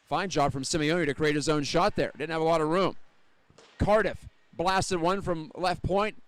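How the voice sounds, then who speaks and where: A man's voice: on the high side, steady tone, moderate pace, fairly bright tone, dry recording. A man with an American accent calling live hockey play-by-play, fluent and descriptive, lifting his energy with the action. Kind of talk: live sports commentary.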